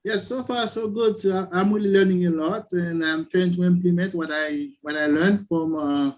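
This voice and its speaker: A man with a Haitian accent, attending an online class, friendly and laughing easily, halting with fillers. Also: high voice, fairly even intonation. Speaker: class attendee, day trader.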